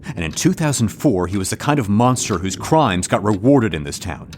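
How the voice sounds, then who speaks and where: A man's voice: fairly low pitch, sing-song, normal speed, darkish tone, slight background noise. A man playing an earnest, protective, guilt-ridden actor in a comedic audio drama, confessional in manner. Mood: grave, earnest.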